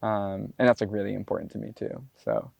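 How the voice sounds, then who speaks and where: A man's voice: on the low side, fairly quick, creaky, no room sound, highs cut off. A man in his twenties talking casually and reflectively in a documentary interview, his speech loose and full of "like" fillers. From United States. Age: 20s. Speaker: botanist and climber being profiled.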